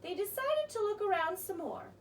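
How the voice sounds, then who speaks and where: A woman narrating a children's story as an expressive, theatrical storyteller. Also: very high voice, normal speed. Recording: distant mic with faint noise.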